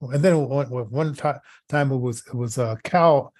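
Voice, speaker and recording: A man hosting a casual radio talk show, speaking haltingly and often repeating words and phrases as he goes. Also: medium pitch, darkish tone, dry recording.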